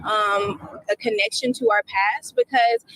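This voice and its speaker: A woman's voice: mid-range voice, unhurried, fairly bright tone, a little hiss or hum, low-quality recording. A woman answering a news interview in an earnest, thoughtful way.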